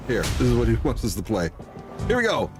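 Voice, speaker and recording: A man's voice: medium pitch, wildly swooping pitch, fairly quick, music in the background. A man chatting casually as a livestreamer, in an amused way, often repeating himself. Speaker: livestreamer playing a game.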